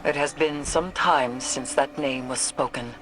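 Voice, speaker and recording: A woman's voice: rumbling low, a little slow, a bit bright, slight background noise, poor audio. A woman playing a grave, commanding alien sorceress in a video game, speaking formally and solemnly. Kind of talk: dramatic video game acting.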